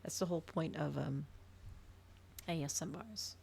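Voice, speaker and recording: Low, creaky-voiced woman streamer, softly chatting. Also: fairly even intonation, normal speed. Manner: hushed, often dropping into whispers. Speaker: livestreamer hosting an ASMR reading stream. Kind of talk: casual livestream chat, soft ASMR.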